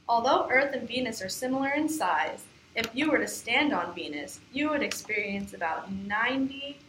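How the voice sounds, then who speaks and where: A woman's voice: a little high, fairly bright tone. A woman giving scripted educational narration as a puppet show presenter. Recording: echoey room, faint background noise.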